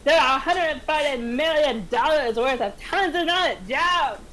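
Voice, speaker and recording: A woman's voice: high voice, lively intonation, measured pace, a bit bright, slight background noise. A woman playing a defiant diner waitress held at gunpoint, in an amateur table reading. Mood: bargaining.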